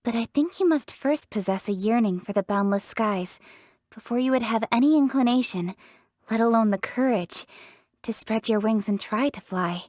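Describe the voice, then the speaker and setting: A woman's voice: on the high side, moderate pace, darkish tone, dry recording, clean studio sound, close to an everyday voice. An actress playing a confident, proud young woman leader in a fantasy video game, speaking in an articulate, earnest, self-assured way. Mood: thoughtful, sincere. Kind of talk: scripted video game character voice lines.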